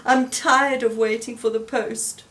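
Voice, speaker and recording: A woman's voice: fairly high pitch, fairly even intonation, measured pace, a bit bright. A woman voicing a gloomy, stubborn male toad in a children's storybook reading, mopey and stubborn. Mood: glum.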